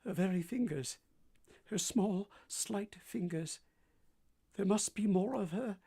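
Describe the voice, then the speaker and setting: A woman playing a blind, passionate gentleman in a radio drama, husky and imploring in a dramatic manner. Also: medium pitch, slow, heavily acted. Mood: overwhelmed longing.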